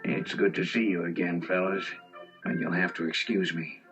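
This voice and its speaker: An actor playing a shifty museum assistant who talks in a flat drone in a children's storybook drama. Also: male voice, sits low, normal speed, lightly acted. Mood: guarded politeness. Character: shifty low-voiced male museum assistant. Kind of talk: children's read-along storybook acting. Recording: dull 1980s tape, music behind.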